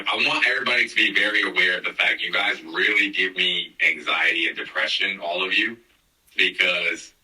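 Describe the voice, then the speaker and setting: A man's voice: low-pitched, sharp tone, reverberant, recorded from a distance, low-quality recording. A man in his thirties hosting a casual podcast, joking and teasing with frequent laughs. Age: 30s. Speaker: podcast host.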